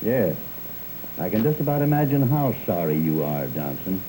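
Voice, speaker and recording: An actor playing a stubborn, injured dam contractor in a 1930s film serial, defiant and accusing. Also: male voice, sits low, very animated, moderate pace, mellow, rough recording. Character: stubborn older male dam contractor, bedridden. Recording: hissy old VHS film transfer.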